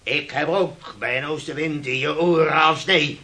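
An actor playing an older, grey-haired shipowner in a radio play, pompous, scolding and used to command. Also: male voice, on the high side, normal speed, pushed and bright. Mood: reproachful. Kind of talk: theatrical radio play acting. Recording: older radio drama recording.

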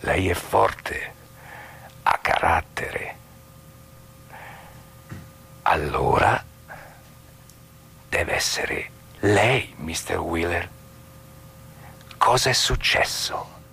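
An actor playing a blunt, sardonic outsider in a stage drama, mocking a married couple with theatrical, drawn-out irony. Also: male voice, low-pitched, very animated, vocal fry, bright, forceful tone, breathy edge, full character voice. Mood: sardonic probing. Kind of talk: stage monologue acting.

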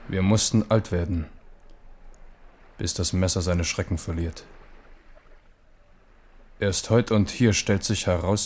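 Old king, low voice, shrewd, world-weary, deliberate theatrical phrasing, radio play. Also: darkish tone. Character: ageing French king, weary, shrewd, warm to old comrades. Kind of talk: German radio play acting.

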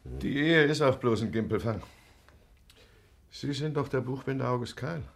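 A man acting a cynical country gentleman and registrar in a German radio drama, clipped, ironic and officious. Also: medium pitch, moderate pace, creaky. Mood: brisk, official.

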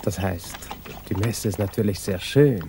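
An actor playing a courteous, evasive violinist lover in a 1950s radio drama, speaking. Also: male voice, lowish voice, moderate pace, warm tone, slight background noise, lightly acted. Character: evasive male violinist lover, polite but emotionally detached. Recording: 1950s radio recording with music behind.

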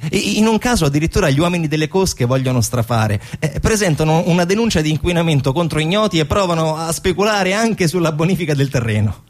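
A man's voice: on the high side, lively intonation, fast talker, few pauses. A man narrating a theatrical documentary monologue in an explanatory way, posing rhetorical questions. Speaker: stage actor-narrator.

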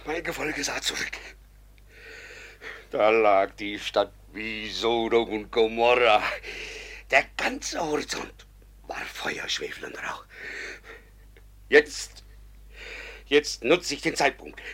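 An actor playing a rough robber escaped from the gallows, gasping and intense, in a classic radio drama. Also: male voice, mid-range voice, very animated, slow pace, long pauses, a bit bright, full character voice. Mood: breathless excitement.

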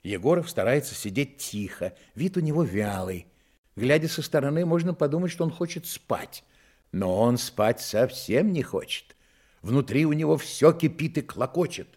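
An actor as a male storyteller in a radio drama. His mid-pitched voice moves widely and expressively through scripted narration, in a dramatic manner. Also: expressive, normal speed, a little mellow, close to an everyday voice. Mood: suspenseful. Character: storyteller narrating young detectives' lives, expressive.

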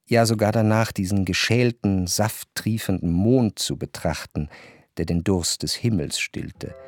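A low-voiced, introspective narrator in a literary radio drama. The voice has a creaky grain and moves through elaborate sentences in a measured way. Faint music runs behind it. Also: male voice, dry recording, clean studio sound. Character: reflective male narrator recalling high-society soirées.

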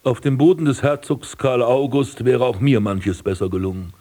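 An actor playing a vain, scornful prince and landscape gardener in a radio drama, speaking in pompous, ornate declamation. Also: male voice, medium pitch, fairly even intonation, moderate pace, dark tone, close to an everyday voice. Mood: dismissive. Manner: pompous, declamatory, ornate old-fashioned diction. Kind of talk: literary radio drama acting, monologue and dialogue.

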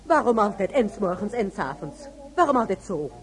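A woman playing a lively young German wife. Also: medium pitch, brisk, faint music behind, natural voice. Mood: pleading.

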